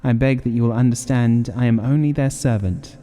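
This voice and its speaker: Storybook role: uneasy official bearer of bad news male reader, stiff, apologetic, dark tone, long reverb. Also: medium pitch, fairly even intonation, a little fast, faint music behind, lightly acted. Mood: formal, uneasy. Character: formal, uneasy company messenger, a man.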